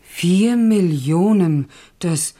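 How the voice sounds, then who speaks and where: Scheming middle-aged mother, radio drama. Servile, excitable, hungry for money. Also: on the low side, extremely slow, heavily acted. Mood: greedy, astonished. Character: greedy, servile scheming mother of a kept daughter. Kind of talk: 1970s German radio play acting.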